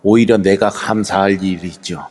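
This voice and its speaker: A man's voice: deep voice, fairly even intonation, normal speed, a little mellow, in a large hall. A man playing a veteran male exorcist, speaking humbly and earnestly. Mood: humble. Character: veteran male exorcist, thirty years on the job. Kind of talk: amateur audio drama acting.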